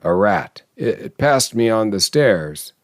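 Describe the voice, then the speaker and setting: Elderly husband, played by a male narrator, low voice, shaken and faltering, full of dread, storybook drama, very dry sound. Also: big pitch swings, takes it slowly, no room sound. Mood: terrified. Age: older. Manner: unsteady, shaking, faltering. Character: frightened, trembling old husband, aghast and pleading.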